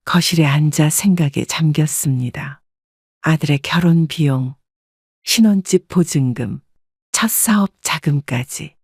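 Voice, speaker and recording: A woman narrating a first-person life story in a calm, measured, reflective way. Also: deep voice, no room sound, clean studio sound.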